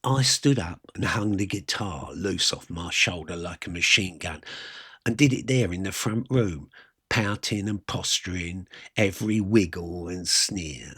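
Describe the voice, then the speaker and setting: A man with a husky voice, telling a first-person story in a wry, colloquial, self-deprecating way. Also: sits low, measured pace, sharp tone, dry recording, clean studio sound. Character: wry working-class South London man reminiscing about his youth.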